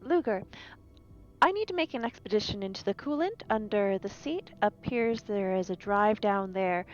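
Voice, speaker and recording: A woman playing a polite female synthetic scientist in a tabletop game, measured, formal and dryly deadpan. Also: mid-range voice, muffled recording, soft background music, close to an everyday voice. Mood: politely deadpan.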